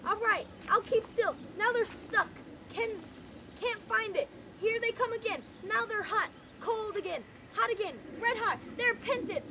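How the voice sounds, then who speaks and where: A woman reading aloud, voicing a nervous young boy who talks in a hushed whisper, with background noise. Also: extremely high pitch, voice pushed up.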